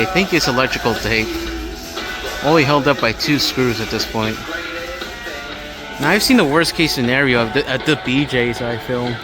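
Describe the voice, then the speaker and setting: Male vlogger for casual store walkthrough, average pitch, thinks aloud and rambles, prominent background music. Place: inside a large home improvement store. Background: store music from overhead speakers.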